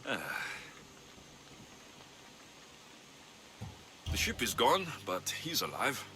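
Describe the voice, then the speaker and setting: An actor playing a wry, steady young mercenary in a video game cutscene, speaking. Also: male voice, medium pitch, normal speed, background noise, lightly acted. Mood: calm, earnest. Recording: music bed behind the voice.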